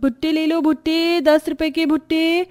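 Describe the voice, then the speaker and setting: Woman doing a high-pitched street hawker. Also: flat delivery, moderate pace, darkish tone. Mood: cheerful hawking. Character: loud cheerful female street vendor calling customers. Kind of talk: cartoon street hawker calls.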